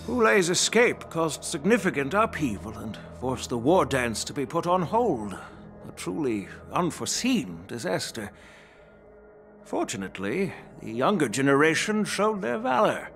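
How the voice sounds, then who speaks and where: A genial older official with a gravelly voice that rises and falls widely. Faint music runs beneath the clean studio dialogue. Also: male voice, on the high side, very animated, measured pace, fairly bright tone, exaggerated voice. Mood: relieved. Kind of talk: game dialogue.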